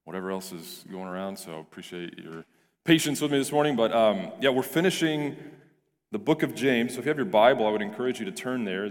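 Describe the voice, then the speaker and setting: A male pastor with a hoarse, deep, raspy voice, praying and then preaching earnestly, often restarting and repeating words. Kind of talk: prayer and sermon. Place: church sanctuary. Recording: reverberant church hall with soft music.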